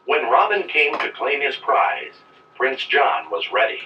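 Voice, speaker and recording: A man's voice: medium pitch, sing-song, unhurried, distant mic, slight background noise. A man reading scripted narration for a children's read-along storybook. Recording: roomy, dull playback of old read-along record.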